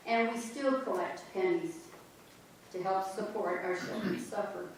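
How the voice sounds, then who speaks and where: A woman narrating a church history in an amateur reader's theater, with steady storytelling. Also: medium pitch, unhurried, background noise. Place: church sanctuary. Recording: echoey church from far off.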